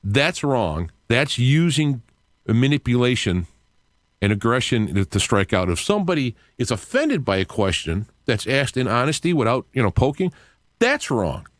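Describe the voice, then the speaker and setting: An older man, around sixty, hosting a radio show with an earnest, reflective, unscripted monologue in halting phrases. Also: medium pitch, sing-song, no room sound, clean studio sound. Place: cluttered home radio studio, indoors. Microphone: close studio microphone with headset.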